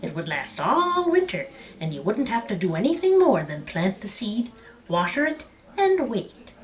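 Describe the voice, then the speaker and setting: A woman's voice: medium pitch, big pitch swings, normal speed, lightly acted. A woman voicing a clever little male leprechaun in a storybook, pleading and wheedling in folksy Irish phrasing. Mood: coaxing.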